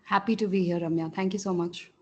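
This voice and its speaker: A woman with an Indian English accent speaks in a mellow, slightly husky voice. She answers as a podcast guest, thoughtful and measured, often pausing with "uh" and checking in with "right?". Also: on the low side, steady tone. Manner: reflective, uses "right?" and "uh" often. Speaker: guest coach and author.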